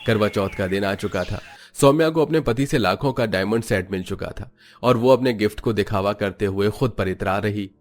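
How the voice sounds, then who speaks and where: A man's voice: fairly low pitch, moderate pace, faint music behind. A man giving scripted, melodramatic narration for a cartoon family story.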